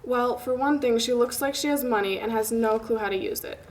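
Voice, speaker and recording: A young woman playing a wry college student who loves gossip. Her voice is fairly high, reading a drama script aloud with slight noise in the background. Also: a little fast, natural voice, poor audio. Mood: gossipy. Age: young adult. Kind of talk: table read of a pilot script.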